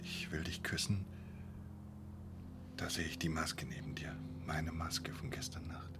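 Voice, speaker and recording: Low-voiced, half-whispering husband. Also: male voice, fairly even intonation, unhurried. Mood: relieved, tender. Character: remorseful, tender husband confessing to his wife. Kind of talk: intimate modern radio play acting. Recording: loud music behind the voice.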